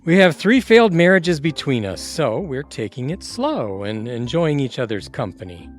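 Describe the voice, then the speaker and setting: A man's voice: medium pitch, big pitch swings, normal speed, soft background music, lightly acted. A man playing a teasing best buddy in a comic audio play, with a sardonic, wisecracking manner. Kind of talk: comic audio theatre acting.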